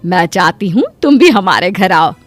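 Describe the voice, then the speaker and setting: A woman voicing a kindly middle-aged auntie in a cartoon moral story, advising in a gentle, motherly way. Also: on the low side, animated, moderate pace, faint music behind, voice pushed down, close to an everyday voice.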